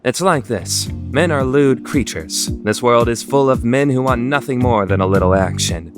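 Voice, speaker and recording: A man voicing a deadpan, exasperated high school boy explaining things drily in an anime fan dub radio play. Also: normal speed, close to an everyday voice. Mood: explanatory. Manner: dry, explanatory. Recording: music behind the voice.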